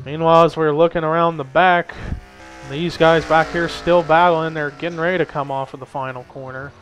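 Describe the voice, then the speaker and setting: A man's voice: fairly high pitch, steady tone, fairly quick, a bit bright, poor audio. Upbeat young male race caller. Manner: chatty, lifts energy at race calls. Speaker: race commentator. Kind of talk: casual play-by-play of a simulated race. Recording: faint background noise.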